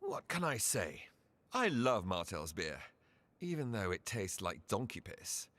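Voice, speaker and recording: An actor playing a courteous, self-deprecating imperial mage envoy in a fantasy game, polite and wry with some hesitation. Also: male voice, lowish voice, huge pitch swings, unhurried, a bit bright, heavily acted. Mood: politely wry. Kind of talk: scripted fantasy game cutscene acting.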